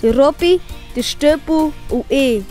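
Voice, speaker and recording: A woman's voice: sits high, unhurried, mellow, soft background music. A woman voicing a young schoolboy, honest and eager, with a Swiss German accent, in a children's audio play. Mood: relieved, eager.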